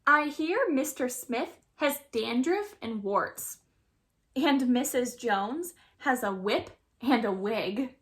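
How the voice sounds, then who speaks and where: A woman's voice: on the high side, expressive, slow. A woman voicing an anxious young schoolchild in a storybook, telling of the first day in a wide-eyed, theatrical way. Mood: apprehensive.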